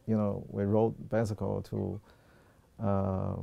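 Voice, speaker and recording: A man in his sixties with a Chinese accent, telling personal memories in a halting, reflective way as a documentary interviewee. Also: lowish voice, completely flat delivery, gravelly, no room sound, highs cut off. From China. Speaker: artist and art professor.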